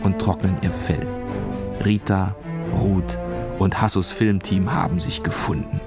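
A man's voice: low-pitched, fairly even intonation, measured pace, over loud music. A man narrating a scripted children's audio story.

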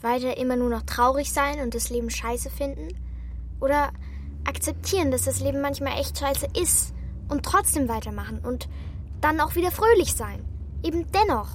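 A woman's voice: high-pitched, normal speed, slight background noise, natural voice. A young girl actor playing an outspoken, feisty girl in a German children's radio play, talking bluntly and in slang. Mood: reflective. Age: child or young teen girl. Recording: faint music behind the voice.

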